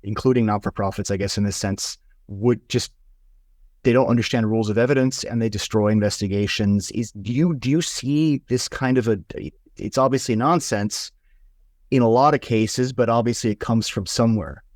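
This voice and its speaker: A man's voice: fairly low pitch, normal speed, a little mellow, no room sound, clean studio sound. A Swiss man with a Swiss accent, hosting an interview and asking long questions, often repeating words as he thinks. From Switzerland. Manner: repeats words often while thinking, stacked stammered starts. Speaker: podcast host, interviewer.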